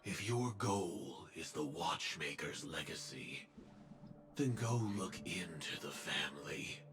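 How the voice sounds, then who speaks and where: A man playing a grim, weary killer in cold armor in a video game, speaking slowly and solemnly in terse phrases. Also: extremely low pitch, lively intonation, exaggerated voice. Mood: cold, guarded. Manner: slow, terse, solemn delivery. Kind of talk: scripted video game dialogue. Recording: game music behind the voice.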